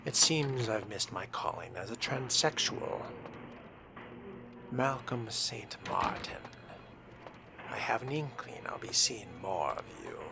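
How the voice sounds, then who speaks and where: A man's voice: mid-range voice, very animated, slow pace, gravelly, background noise. A man narrating a role-playing game in character, with a pompous, disdainful manner and formal wording. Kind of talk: in-character roleplay commentary.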